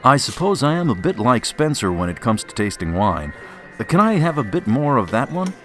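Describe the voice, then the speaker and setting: An actor playing a fastidious, self-satisfied male wine critic in a radio drama, refined and haughty, speaking in a measured way. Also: mid-range voice, sing-song, loud music behind the voice, natural voice. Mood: savouring, smug.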